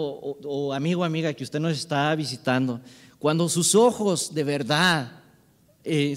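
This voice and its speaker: Male preacher, higher-pitched, informal conversational sermon delivery, recorded with long hall reverb. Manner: conversational, frequent rhetorical tag questions.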